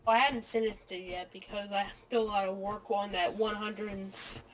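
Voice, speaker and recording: A woman's voice: mid-range voice, fairly even intonation, normal speed, low-quality recording. Hesitant, rambling woman in casual chat. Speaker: friend chatting with the player over voice chat. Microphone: voice chat call.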